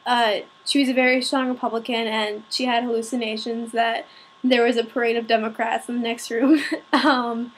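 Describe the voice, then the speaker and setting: A young woman who is a little sick and raspy, talking informally and a bit self-consciously in a personal video. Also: a little high, low-quality recording. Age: young adult, student. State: slightly sick with a cold-like rasp. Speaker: vlogger. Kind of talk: personal talk to camera.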